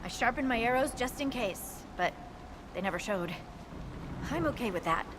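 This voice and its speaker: A woman's voice: a little high, expressive, normal speed, noisy background, close to an everyday voice. An actress playing a wry, informal dwarf scout woman in a fantasy video game, with an offhand manner. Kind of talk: video game cutscene acting.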